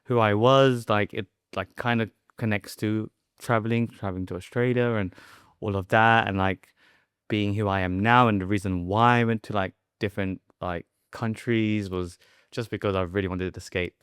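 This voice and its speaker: Young guy, podcast guest, smooth, lowish voice, rambling casual chat, dry mic. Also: steady tone, moderate pace, fairly bright tone, no echo. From West London, born in the Philippines. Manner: informal and rambling, many "like" and "um" fillers. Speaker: podcast guest, food creator.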